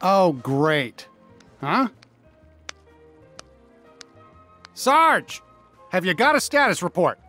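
A man voicing an earnest, friendly cowboy toy sheriff in a video game, with a folksy, expressive, excitable manner. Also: high voice, slow pace, long reverb tail, soft background music, full character voice. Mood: exasperated. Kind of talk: animated video game acting.

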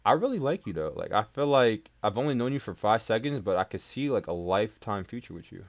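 Smooth-talking young man on a headset. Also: lowish voice, sing-song, fast talker, highs cut off, a little hiss or hum. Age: 20s.

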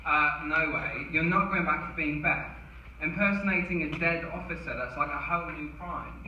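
An actor playing a sardonic, exasperated young brother at a theatrical table read. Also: male voice, fairly high pitch, moderate pace, muffled recording, a little hiss or hum, close to an everyday voice. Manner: incredulous, theatrical. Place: cinema stage before an audience. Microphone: stage mic, captured from far in audience. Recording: distant echoey theatre recording.

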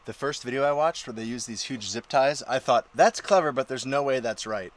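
A man's voice: medium pitch, brisk, a little hiss or hum. A man in his thirties casually narrating a home-build vlog, explaining technical details in an upbeat way, filmed on a close handheld camera. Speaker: DIY home-build vlogger. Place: attic, outdoor deck and indoor rooms of a barn home. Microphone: handheld action camera close up.

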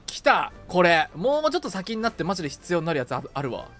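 A man chatting casually as a game streamer with a co-player, bantering and quick to exclaim at what happens. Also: high-pitched, sing-song, a bit bright. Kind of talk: casual gaming chat with a co-player. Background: game music.

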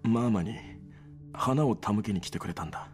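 An actor playing a bitter, defeated mafia fighter in a video game, dramatic and pained in delivery. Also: male voice, fairly low pitch, fairly quick, faint music behind, lightly acted. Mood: weary, bitter.

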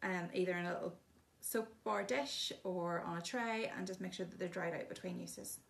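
A woman's voice: lowish voice, moderate pace, vocal fry. A woman giving a chatty, casual demonstration of bathroom products, explaining each one plainly with frequent ums. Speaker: shop presenter showing products.

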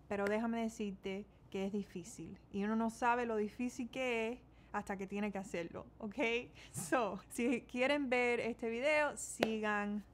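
A woman's voice: a little high, slight background noise. A young woman in her twenties from Miami, with a Cuban-American accent, chatting casually through a makeup tutorial and slipping English words into her Spanish. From United States, Miami. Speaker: beauty vlogger. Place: small bedroom indoors.

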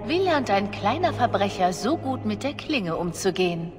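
A woman's voice: mid-range voice, big pitch swings, moderate pace, close to an everyday voice. A woman voicing a wary, wronged young bard in a video game, with guarded, questioning delivery. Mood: bitter, guarded. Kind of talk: dramatic video game voice acting. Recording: long reverb, music behind.

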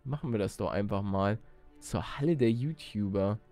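A man doing excitable let's play commentary, exclamatory and slangy, talking to viewers and himself. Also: lowish voice, normal speed, faint music behind. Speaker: gaming YouTuber and let's player.

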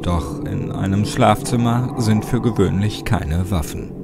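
Male horror audiobook narrator, lowish voice, suspenseful and measured, with prominent music underneath. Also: vocal fry. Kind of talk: dramatic scripted audiobook narration of a horror story. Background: loud music under the voice.

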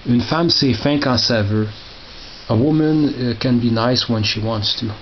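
A middle-aged man giving casual, halting commentary as he reads out titles and mutters asides. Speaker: record collector showing his collection. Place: small tiled indoor room by storage crates. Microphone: handheld camera held by the speaker. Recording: muffled, noisy low-grade camera audio with clicks.

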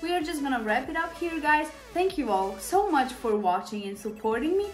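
A young woman chats through a makeup tutorial, her voice bright and high. She speaks to viewers casually, with plenty of fillers and restarts. The room rings with echo, and faint music sits behind her. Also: lively intonation, a little fast. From Serbia. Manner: chatty, many fillers, speaks to viewers directly. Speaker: beauty vlogger. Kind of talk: makeup tutorial talk to camera. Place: small indoor room with shelves.

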